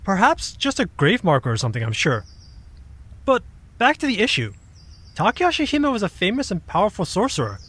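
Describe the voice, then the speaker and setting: A man voicing an ancient, dry and sceptical mythical creature in an audio drama, husky and theatrical, explaining things in a measured way. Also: high-pitched, wildly swooping pitch, a bit bright, no echo, exaggerated voice. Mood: knowing, explanatory.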